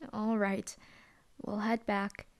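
A woman's voice: medium pitch, flat delivery, drawn-out words. A young woman voicing a dry, teasing female hellhound demon bodyguard in an audio roleplay. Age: young adult. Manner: dry, teasing, laid-back.

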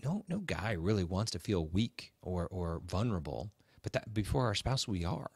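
A man's voice: sits low, very animated, moderate pace, vocal fry, darkish tone, slightly rough, no room sound, clean studio sound. A man co-hosting a podcast, giving conversational advice, emphatic and often repeating and rephrasing his points. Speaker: podcast host. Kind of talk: conversational advice talk with a co-host.

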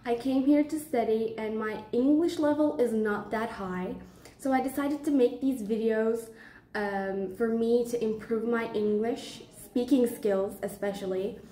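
A young woman with a Turkish accent, a non-native English speaker, chatting casually to camera as a student vlogger in simple, halting phrases. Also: on the high side, dark tone, roomy sound. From Turkey. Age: young adult. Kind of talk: casual vlog talk to camera.

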